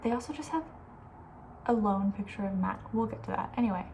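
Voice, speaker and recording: Casting: female ASMR host, soft and breathy, often near-whispered, chatty and informal, medium pitch, some background noise. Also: fairly quick. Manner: chatty, informal, thinking aloud. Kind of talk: soft-spoken ASMR, casual chat.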